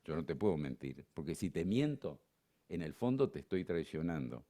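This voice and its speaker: A man's voice: sits low, sing-song, moderate pace, dark tone. An older man with an Argentine accent giving a conference lecture in a digressive, conversational way, with frequent fillers. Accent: Argentine Spanish, not Buenos Aires.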